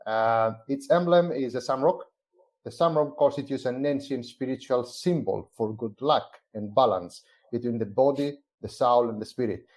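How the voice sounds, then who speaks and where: A man from Greece, a company's chief growth officer, presenting a business book in a measured way with frequent "uh" fillers. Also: medium pitch, darkish tone. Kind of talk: semi-scripted presentation on a live stream.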